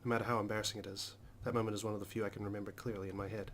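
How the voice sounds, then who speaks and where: A man's voice: fairly low pitch, flat delivery, racing, a bit bright, slight background noise. A man hosting a let's play, reading visual novel narration aloud in a dramatic storytelling style. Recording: music clearly under the voice.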